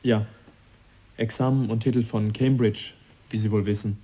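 An actor as a very mellow, reserved young gentleman. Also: fairly low pitch, steady tone, close to an everyday voice. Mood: hesitant, troubled. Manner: measured, deliberate, understated. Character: reserved, sensitive, polite young English gentleman naturalist. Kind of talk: radio drama dialogue.

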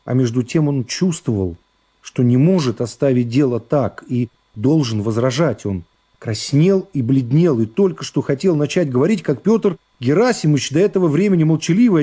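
Male narrator for literary radio drama; dark, soft-edged tone, scripted dramatic delivery. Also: fairly high pitch. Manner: dramatic reading of classic prose.

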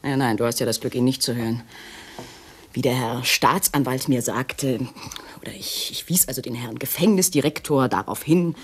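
An actress playing an agitated, unhappy wife with a secret lover, complaining intensely in a 1960s radio play. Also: female voice, a bass voice, moderate pace, a little hiss or hum. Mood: bitter, mocking.

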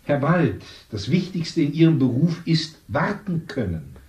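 A man playing a brisk, condescending casting-agency clerk in a 1970s radio play. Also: on the high side, sing-song, darkish tone, recorded from a distance. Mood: brusque. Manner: curt, condescending, brushing off. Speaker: agency secretary. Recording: echoey room, off-mic.

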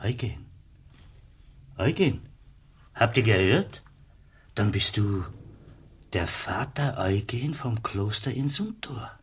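An actor playing a friendly, hospitable customs officer at a border post in a radio play, with a husky voice. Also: male voice, lowish voice, lively intonation, slow pace, pauses often, a little hiss or hum. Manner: kindly, hospitable.

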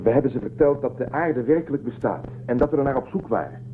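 A man's voice: medium pitch, quick pace, natural voice. A man playing an earnest starship commander in a science-fiction radio drama, questioning and persuasive in his delivery. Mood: persuasive, puzzled. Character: earnest young male starship commander searching for Earth. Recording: narrow-band muffled audio, faint music.

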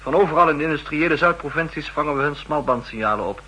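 An actor playing an eager, earnest young intelligence officer with some stubbornness, in the style of a 1960s radio drama. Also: male voice, fairly high pitch, quick pace, pushed and bright, slightly rough, poor audio. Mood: frustrated, reporting. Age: young adult.

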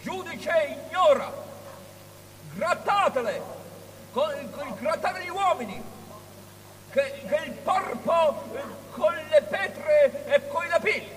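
An actor playing a raving, abusive prophet, ranting and declaiming curses in a theatrical radio drama. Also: male voice, very high voice, expressive, slow pace, a bit bright, heavily acted. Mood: furious. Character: raving prophet hurling vulgar insults and curses. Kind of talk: avant-garde theatrical radio drama acting. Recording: distant, long hall reverb, hum.

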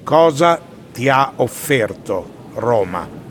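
A man's voice: medium pitch, sing-song, takes it slowly, slight background noise. A man reading scripted questions and narration as a radio interviewer. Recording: long reverb, music behind. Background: music.

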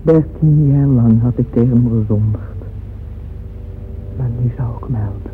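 Anguished mother, remorseful confession, solemn slow radio drama delivery, thin noisy old recording. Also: rumbling low, narrow, tinny audio. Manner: solemn, slow, intensely emotional delivery with whispers. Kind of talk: dramatic radio play monologue.

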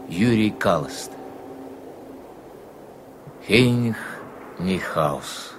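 A man narrates a radio drama from a script in a fairly low voice. There is faint noise behind him.

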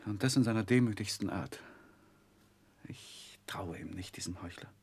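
An actor playing an earnest, sensitive man recalling his youth in a 1960s German radio drama, speaking in a measured, reflective literary manner. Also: on the low side, darkish tone, breathy edge. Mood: tender, concerned.

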